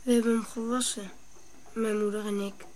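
A woman's voice: mid-range voice, level tone, normal speed, a little mellow, slight background noise, close to an everyday voice, rough recording. A woman playing a grieving young African boy in a radio drama, answering in short childlike phrases.

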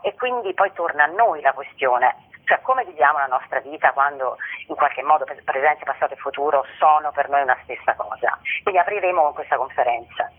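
A man giving an informal, wordy presentation of a theatre programme, often digressing and correcting himself. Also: fairly high pitch. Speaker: theatre company member presenting a festival programme. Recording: tinny, narrow phone or radio sound.

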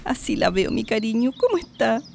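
A woman's voice: a little high, sing-song, moderate pace, gravelly, darkish tone. A woman playing an anxious, bossy but affectionate Chilean mother in a radio drama. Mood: tender. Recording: noisy, clattering dishes and clicks.

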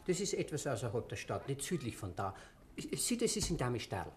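An actor playing a fussy, obliging waiter with an Austrian accent, giving directions in a 1950s radio play. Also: male voice, mid-range voice, big pitch swings, a little fast, slight background noise, natural voice. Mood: helpful. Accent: Austrian German. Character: chatty Austrian café waiter, older man. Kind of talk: 1950s radio drama acting.

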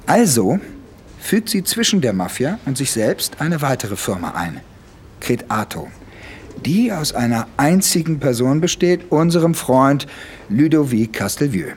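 A man's voice: medium pitch, very animated, measured pace, slight background noise. An actor playing a male police investigator in a radio drama, explaining things methodically. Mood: explanatory, assured.

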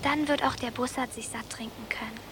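High, childlike little sister voiced by a woman. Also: fairly even intonation, fairly quick, fairly bright tone, a little hiss or hum, natural voice. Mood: tired, affectionate. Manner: naive, childlike. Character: innocent, trusting little peasant girl, simple-minded younger sister. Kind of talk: German radio drama acting.

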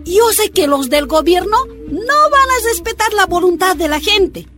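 An actress playing a combative, earnest Bolivian miners' leader in a radio drama, speaking with a Bolivian accent. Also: female voice, very high-pitched, animated, a little slow, over music. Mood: earnest, resolute.

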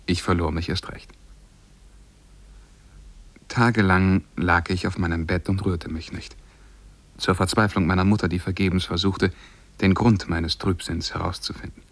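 An actor playing a lovesick, melancholy man who tells the story of his youth in a measured, mournful way, in 1950s German radio drama style. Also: sits low, steady tone. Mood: melancholy, narrating.

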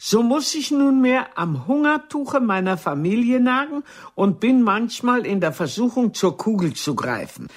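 Aging stage actress, haughty, bitter, sharp-tongued, theatrical, very animated pitch, unhurried, radio play. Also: female voice. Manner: theatrical, haughty, deliberate delivery. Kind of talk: radio drama dialogue acting.

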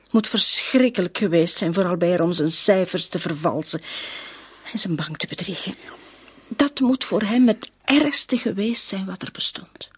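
Very breathy, distraught widow. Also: female voice, lowish voice, lively intonation. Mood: disbelieving, sad. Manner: halting, breathy, whispery delivery. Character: distraught, disbelieving widow of a bank employee. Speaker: actress in a radio play. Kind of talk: radio drama acting, emotional dialogue.

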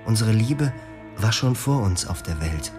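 A haunted husband tells his own story in the first person, with an intense, brooding delivery. His voice sits fairly low. Faint music runs underneath. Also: lively intonation, measured pace. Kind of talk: radio drama monologue.

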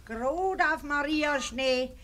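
A woman's voice: high-pitched, takes it slowly, a bit bright. A woman playing a sorrowful older farm mother with a Bavarian accent in a 1950s dialect radio play. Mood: gloomy.

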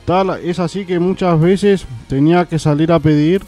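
A man's voice: on the high side, fairly even intonation, normal speed, mellow, faint music behind. A man reading scripted narration aloud as a narrator.

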